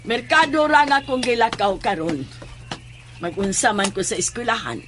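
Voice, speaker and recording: A woman playing a stern mother in a horror audio drama, deliberate and insistent in her speech. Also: fairly high pitch, animated, fairly bright tone, slight background noise. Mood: stern probing. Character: stern, probing mother with occult leanings.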